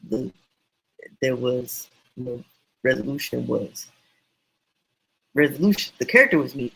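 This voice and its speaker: A man teaching writing in a casual, meandering, encouraging way, his voice going hoarse. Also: mid-range voice, fairly bright tone, poor audio. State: losing voice, hoarse. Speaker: self-published author teaching writing.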